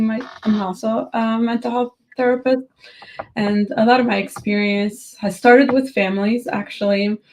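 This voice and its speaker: A woman's voice: medium pitch, a little mellow, a little hiss or hum. A woman from Moldova, native in Romanian, speaking English with a Moldovan accent as a therapist guest, thoughtful and full of fillers. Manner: thoughtful, many fillers like "like" and "you know". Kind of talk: casual panel talk.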